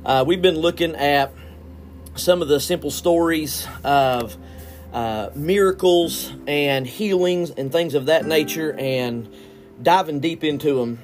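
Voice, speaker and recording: A man's voice: fairly high pitch, slow. A man hosting his own podcast, speaking in an earnest, indignant tone as he delivers solo commentary. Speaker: podcast host.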